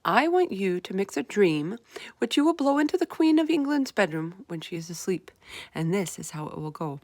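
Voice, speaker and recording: A woman's voice: mid-range voice, sing-song, moderate pace, no room sound. Woman reading as confident, bossy little orphan girl. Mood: commanding. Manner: firm, instructing, assured. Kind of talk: storybook read-aloud character voice.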